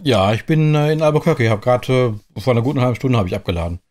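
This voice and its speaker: A man's voice: mid-range voice, lively intonation, fast, darkish tone. A middle-aged man from Germany giving chatty, colloquial commentary as host of a truck driving game. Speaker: host of a truck simulator roleplay series. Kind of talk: casual gameplay commentary in character. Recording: clear vehicle noise behind the voice.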